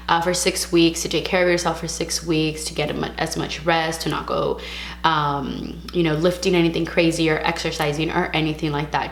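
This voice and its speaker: Young woman, casual vlog, a bit raspy, lowish voice, roomy echo. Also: a little hiss or hum. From California. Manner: chatty, frank, sprinkles in Spanish words. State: slight sore throat, a bit raspy. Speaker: vlogger. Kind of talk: casual vlog talk to camera.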